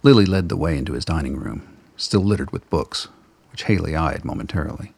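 A man with a gravelly voice reading scripted narration for a radio drama. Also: very deep voice, expressive, fairly quick, a little mellow.

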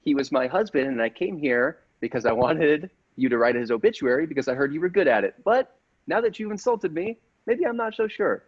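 Man playing an indignant, halting widow, muffled. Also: on the high side, lively intonation, pitched above the performer's own voice. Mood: offended. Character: easily offended widow seeking her husband's obituary. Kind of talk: improvised comedy skit.

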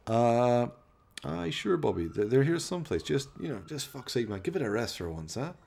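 A man's voice: medium pitch, big pitch swings, moderate pace, creaky, darkish tone. A man voicing an evasive, weary everyman hero who talks in a hesitant, placating way in a radio play. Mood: exasperated.

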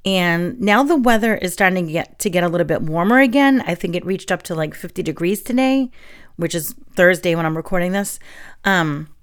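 A woman's voice: on the low side, animated, fairly quick. Chatty young woman with a sore throat. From New England. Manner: chatty and friendly, with fillers and soft laughs. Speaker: podcast host. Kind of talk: casual solo podcast talk and reading.

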